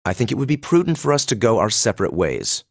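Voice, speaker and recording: A man's voice: mid-range voice, wildly swooping pitch, fast talker, vocal fry, no room sound, clean studio sound, heavily acted. An actor playing a haughty teenage male noble in an anime game dub, pompous, formal and coolly disdainful.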